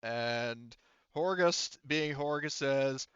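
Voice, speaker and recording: A man narrating a tabletop game as game master, improvising and piecing the story together haltingly. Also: mid-range voice, fairly bright tone, clear, resonant tone, no room sound, dull audio.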